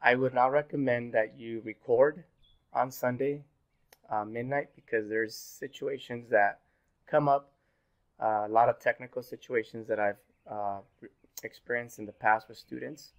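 A man in his thirties, a little sick and hoarse, giving a pre-recorded online class as instructor, relaxed and full of fillers. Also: mid-range voice, measured pace, highs cut off. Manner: relaxed, filler-heavy, repeats "go ahead". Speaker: university instructor. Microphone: webcam in corner of screen recording.

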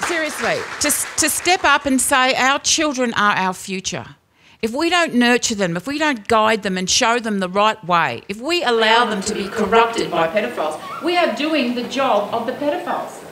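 A woman in her fifties with an Australian accent, giving an impassioned speech at a rally with a loud, booming voice and many rhetorical questions, speaking into a stand microphone at a lectern indoors. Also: animated, normal speed, a crowd in the background, noisy background. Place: indoor venue with brick wall.

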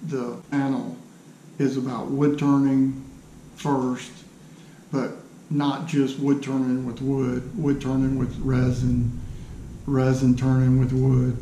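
Gravelly older man, halting. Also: mid-range voice, dark tone. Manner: halting, hesitant, self-deprecating. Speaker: woodturner introducing his channel. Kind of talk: casual unscripted vlog talk to camera. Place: small cluttered home workshop, indoors. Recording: roomy workshop echo, faint background noise.

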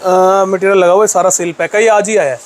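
A man, a wholesale shop owner, chatting in a relaxed, jokey way. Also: sits high, fast. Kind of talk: casual chat.

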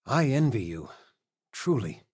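Doting middle-aged game dad: folksy, lightly husky, lively intonation, close dry sound. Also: male voice, medium pitch, measured pace, no echo. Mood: reassuring, tender. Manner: folksy, affectionate, conversational. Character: warm, doting middle-aged father, gently joking. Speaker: video game voice actor. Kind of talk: natural, conversational game character dialogue.

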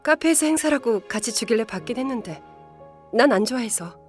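Actress as a curt woman team leader, higher-pitched. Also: lively intonation, brisk, soft background music, lightly acted. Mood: brusquely caring. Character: curt young-looking female team leader, aged 34, secretly kind. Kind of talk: Korean audio drama acting.

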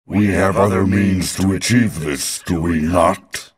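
A man voicing an imperious phantom sorcerer in a video game, raspy, pompous and coldly menacing. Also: deep voice, fairly even intonation, takes it slowly, full character voice. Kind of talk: video game villain acting.

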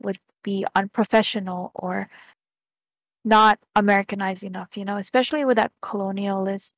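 Young woman, flat earnest voice, headset. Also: mid-range voice, no room sound. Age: young adult. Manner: earnest, measured, thoughtful. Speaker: podcast guest. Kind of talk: casual conversation. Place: small home room.

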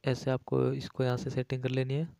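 A man's voice: mid-range voice, completely flat delivery, a little fast, vocal fry, dark tone, dry recording, clean studio sound. A man giving a casual, repetitive step-by-step app tutorial as a voice-over, addressing viewers as friends. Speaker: tech tutorial YouTube host.

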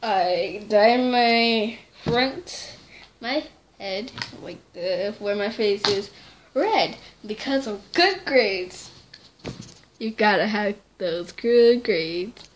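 A woman's voice: medium pitch, animated, extremely slow, a little hiss or hum. A young teen girl chatting casually to her camera in a small dim bedroom, rambling and correcting herself as she goes. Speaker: vlogger. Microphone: device camera held close.

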